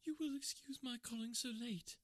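Brief: a tearful, pleading young woman, put on by a male narrator, very high and thin, dry close sound. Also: moderate pace, a bit bright, no room sound. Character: distraught young timid woman visitor.

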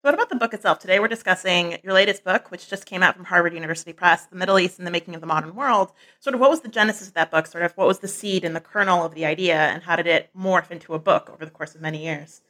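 A woman hosting a podcast interview, reading out her guest's books in a quick introduction and then asking questions. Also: on the low side, pushed and bright. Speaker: podcast host.

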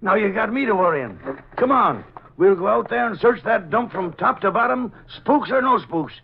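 Wisecracking police sergeant, higher register, old-time 1940s radio acting, dull recording. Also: male voice, lively intonation, normal speed, gravelly, a little hiss or hum. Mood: concerned, resolute. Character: joshing, good-hearted wisecracking police sergeant.

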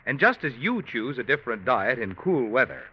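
A man's voice: medium pitch, very animated, fairly quick, breathy edge. A man announcing a sponsor message in a polished, persuasive 1940s radio commercial style. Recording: dull vintage radio recording.

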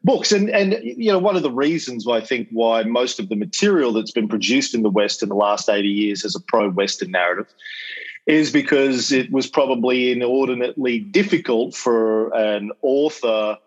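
Australian male host, casual lecture with filler and dry asides, middle pitch, slightly dull call audio. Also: lively intonation, moderate pace. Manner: chatty, lots of ums and uhs, wry asides. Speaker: podcast host giving a school lecture. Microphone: video call.

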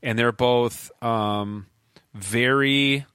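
Middle-aged guy on a podcast, bright voice, keeps restarting his sentences, dry mic. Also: medium pitch, fairly even intonation, very slow, clean tone, dry recording. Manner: rambling, many restarts and fillers. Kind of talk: casual conversation.

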